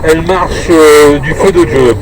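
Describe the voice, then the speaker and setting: A man's voice: on the high side, unhurried, a loud crowd. A man chatting casually on CB radio in short, hesitant bursts. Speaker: CB radio operator. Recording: noisy in-car recording, road noise.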